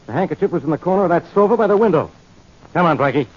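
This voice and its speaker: On a muffled 1940s radio broadcast, an exasperated, blustering inspector with a short temper. His raspy, higher-set male voice leaps about as he badgers gruffly. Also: lively intonation, very fast. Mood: accusing. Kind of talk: 1940s radio detective drama acting.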